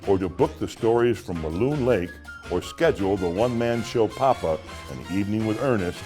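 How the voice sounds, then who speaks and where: Low-voiced man reading a story aloud. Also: expressive, normal speed, a little mellow, soft background music. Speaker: author reading own short stories. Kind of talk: dramatic literary audiobook narration.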